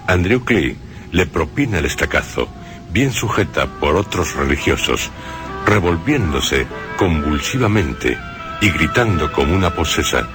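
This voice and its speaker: A man giving scripted film commentary on the radio, with personal asides. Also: low voice. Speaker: radio commentator on horror films.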